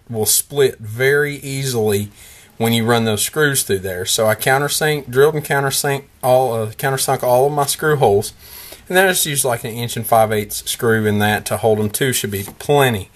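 A man in his thirties casually narrating a woodworking how-to in his workshop, relaxed and explanatory, with frequent fillers and restarts. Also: mid-range voice. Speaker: woodworking vlogger. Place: workshop shed.

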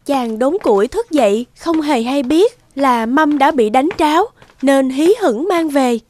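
Woman storyteller, high voice, reading cartoon fable narration. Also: a little slow, a little mellow.

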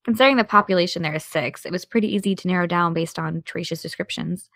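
A woman playing a determined, sardonic teenage podcaster in an audio drama, with a dry, brisk delivery. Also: on the low side, vocal fry, fairly bright tone, dry recording. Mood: reassuring, confident. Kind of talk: naturalistic audio drama dialogue.

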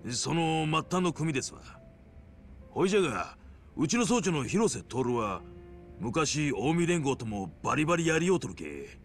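A male actor playing a brash, cocky yakuza gangster in a video game, swaggering in rough Hiroshima dialect. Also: fairly high pitch, big pitch swings, normal speed, soft background music. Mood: boastful, needling. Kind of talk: dramatic video game acting.

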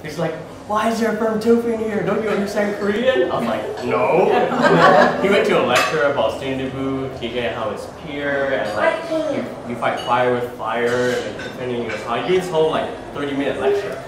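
A man's voice: fairly high pitch, lively intonation, moderate pace, hardly any pauses, over a noisy crowd. A young man telling a casual anecdote at the dinner table. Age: young adult. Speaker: meetup guest. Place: restaurant private dining room. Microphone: camera across the table. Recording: echoey, distant, loud restaurant noise.

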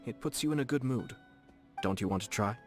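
An actor playing a courteous, composed young nobleman in a video game, speaking formally and softly with a gently teasing manner. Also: medium pitch, natural voice. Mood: gentle, playful. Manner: formal, measured, politely playful. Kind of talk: video game character dialogue. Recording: studio voice over game music. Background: game background music.

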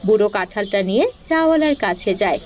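A woman's voice: medium pitch, expressive, quick pace, a little mellow. A woman storyteller reading scripted narration for a cartoon tale.

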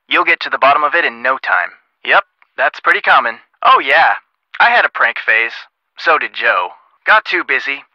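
An actor playing an easygoing, sensible young American detective in a video game, talking in a wry, conversational way. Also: male voice, a little high, no echo, muffled recording. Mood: wry, amused. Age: young adult. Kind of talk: video game phone dialogue.

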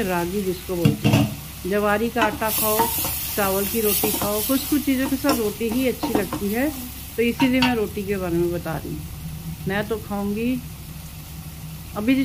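A woman hosting a cooking video in her home kitchen, talking homely and instructive and repeating words for emphasis. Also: mid-range voice. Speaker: cooking channel host. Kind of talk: chatty cooking narration. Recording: loud sizzling pan noise. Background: frying and stirring sizzle.

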